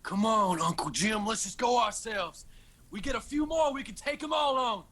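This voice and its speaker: An actor playing a hot-headed, defiant young Appalachian son in a radio drama, brash and impatient. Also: male voice, sits high, lively intonation, moderate pace, a bit bright. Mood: impatient, angry.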